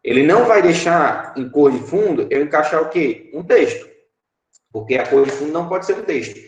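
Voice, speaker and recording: A man in his thirties with a Brazilian Portuguese accent, a teacher explaining app-building blocks in an online class, often pausing with fillers and checking in with his students. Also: fairly high pitch, lively intonation. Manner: explanatory, frequent filler "é" and tag "certo?". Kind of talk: online class screen-share tutorial. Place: home room, indoors. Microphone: webcam video call. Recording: echoey room.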